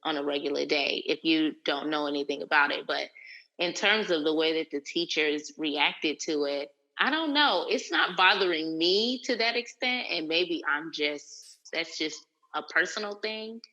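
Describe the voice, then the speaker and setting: A woman co-hosting a podcast, talking in a measured, thoughtful, hedging way. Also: sits low, animated, sharp tone, highs cut off. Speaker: podcast co-host. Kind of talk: casual chat.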